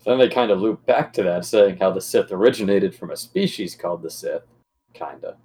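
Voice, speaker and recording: A man's voice: low voice, a little fast, darkish tone. A man chatting casually as a panelist on a podcast. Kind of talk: casual chat.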